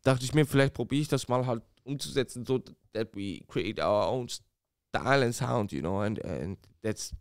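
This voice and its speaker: Smooth, laid-back rapper, thirty, slangy. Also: male voice, medium pitch, animated, normal speed, dry recording, clean studio sound. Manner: laid-back, slangy, drops bro and man. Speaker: rapper guest. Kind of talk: casual podcast interview. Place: small studio room with posters, seated at table. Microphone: podcast mic on boom arm.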